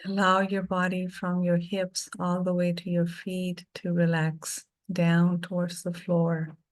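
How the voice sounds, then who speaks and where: A middle-aged woman leading a guided meditation, speaking softly and soothingly with long pauses between phrases. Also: fairly low pitch, completely flat delivery, poor audio.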